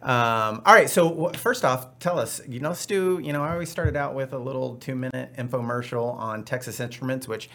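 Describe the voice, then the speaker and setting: A man's voice: mid-range voice, brisk, hardly any pauses, bright, forceful tone. A man hosting a podcast, upbeat and chatty with rambling asides. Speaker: podcast host. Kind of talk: casual podcast chat.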